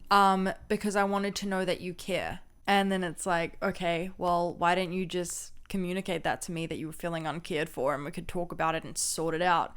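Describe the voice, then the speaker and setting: A young woman with a low, loud voice, co-hosting a casual marriage advice chat and telling stories animatedly. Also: a little fast, hardly any pauses, clean tone. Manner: animated storytelling, acts out voices and quotes with laughs. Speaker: co-host of a marriage advice channel.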